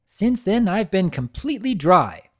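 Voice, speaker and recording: A man's voice: high-pitched, big pitch swings, a little slow, a bit bright, pitched above the performer's own voice, exaggerated voice. A man voicing a boastful, insistent pony mare in a story reading. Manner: defensive, insistent.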